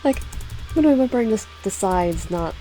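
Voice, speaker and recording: A woman's voice: medium pitch, animated, fairly quick, dark tone, faint music behind, rough recording. A young woman reading a visual novel aloud with side comments, often stumbling, restarting words and giggling.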